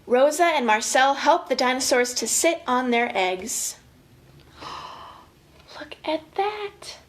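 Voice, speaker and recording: An American woman leading children's story time as an educator, expressive and chatty, reading and giving instructions directly to young listeners. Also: high voice, takes it slowly. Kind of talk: animated picture-book reading and casual guided instruction for young children.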